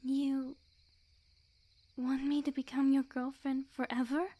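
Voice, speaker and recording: A sweet yandere witch acted out by a woman for an ASMR roleplay, young, clingy and lovesick. Her voice sits fairly high but stays mellow and soft, often breathy and close to a whisper. She speaks intimately, with coy, earnest devotion. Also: flat delivery, slow pace. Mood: giddy, excited.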